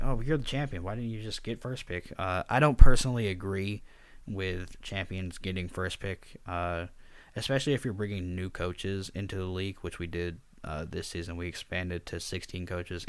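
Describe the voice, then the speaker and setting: A man talking quietly and somewhat monotonously, rambling with lots of fillers as he breaks down his team as a league coach. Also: low-pitched, clean tone, no room sound. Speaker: draft league coach and video host. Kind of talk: casual spoken commentary over slides.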